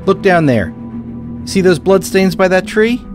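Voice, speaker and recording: An actor playing an anxious, insistent American army private in a war audio drama, blunt and pessimistic. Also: male voice, high voice, expressive, measured pace, music in the background.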